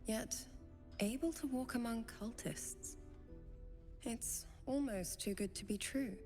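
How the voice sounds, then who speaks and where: An actress playing a devout, spirited young cleric in a video game cutscene, speaking in an earnest, articulate and gently formal way. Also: female voice, medium pitch, close to an everyday voice. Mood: warm, hopeful. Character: devout, eloquent young female cleric, gracious but spirited.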